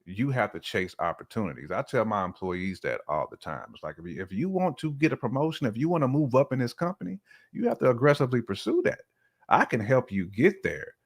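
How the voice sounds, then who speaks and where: A man's voice: medium pitch, sing-song, a little fast. A man, middle-aged, talking casually as a podcast guest, explaining his views in a reflective, colloquial way. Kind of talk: casual relationship discussion.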